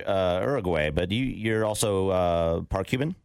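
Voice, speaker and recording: A man's voice: sits low, moderate pace, creaky, clean tone, dry recording, studio quality. A man hosting a podcast, upbeat and chatty as he plugs his guests. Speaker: podcast host. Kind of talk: casual podcast chat.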